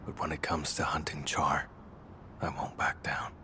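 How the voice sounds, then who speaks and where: A man voicing a stoic, brooding vampire hunter in a video game, speaking in a terse, grave and deliberate way. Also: very deep voice, flat delivery, whispered. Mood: grave, determined. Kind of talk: scripted game dialogue.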